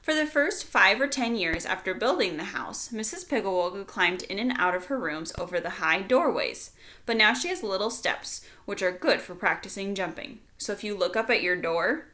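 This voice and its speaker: Bright-voiced woman reading a children's storybook. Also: mid-range voice, normal speed. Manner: explanatory, addressing young listeners directly. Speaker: teacher reading aloud to pupils. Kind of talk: children's storybook read-aloud with casual asides.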